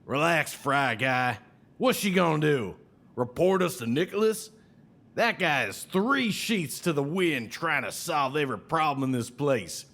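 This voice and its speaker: A man's voice: fairly high pitch, expressive, measured pace, a bit bright. An actor playing a brash, sly, folksy salesman with an American Southern accent and needling, colourful idioms in an audio drama. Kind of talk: scripted audio drama acting.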